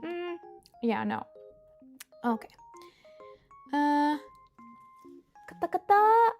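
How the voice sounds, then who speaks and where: Woman streamer, high voice, Ukrainian accent, bubbly and rambling casual chat, music underneath. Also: sing-song, extremely slow, a little mellow. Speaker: livestream host. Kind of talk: casual livestream chat while cooking.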